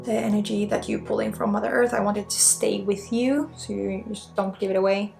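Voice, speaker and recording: A woman with a Swedish accent guides an ASMR spiritual session as an energy healer. Her mid-pitched voice is thick with vocal fry, and she talks in an informal, reassuring way. Faint music plays behind her. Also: lively intonation, brisk, a little mellow. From Sweden.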